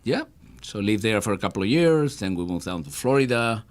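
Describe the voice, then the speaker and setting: A singer-songwriter from Argentina with an Argentine accent, chatting easily and joking as a guest in a radio studio. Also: male voice, lowish voice, fairly quick. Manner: relaxed, jokey storytelling. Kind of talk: live studio performance and chat.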